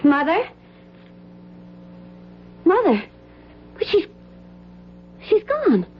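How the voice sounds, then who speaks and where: An actress playing a worried, polite young adult daughter in a radio drama, with an earnest, genteel manner. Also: female voice, very high-pitched, wildly swooping pitch, drawn-out words, exaggerated voice. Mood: anxious. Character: worried, polite grown daughter of a grieving widow. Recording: dull old radio broadcast, clear noise.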